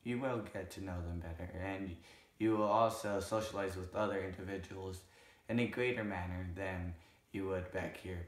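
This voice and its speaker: Young man in his twenties, hoarse, very low voice, earnest rambling vlog talk in a roomy living room. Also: fairly bright tone. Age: 20s. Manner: earnest, rambling with self-corrections. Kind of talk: casual vlog recap. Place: living room couch, indoors.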